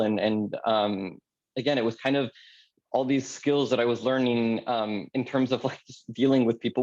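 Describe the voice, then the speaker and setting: Guy in his 30s from california, chatting loose with lots of ums, mid-pitched, kinda muffled audio. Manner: relaxed and chatty, laughs often, frequent ums. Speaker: anesthesiologist speaking at a mentoring workshop. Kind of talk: casual personal story.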